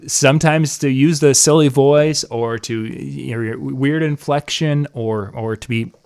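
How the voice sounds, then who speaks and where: A man hosts a casual live interview in a mid-range voice. He is relaxed, laughs easily, and tends to trail off softly as he asks his guest questions. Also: medium pitch, unhurried, vocal fry, clean tone. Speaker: interview host.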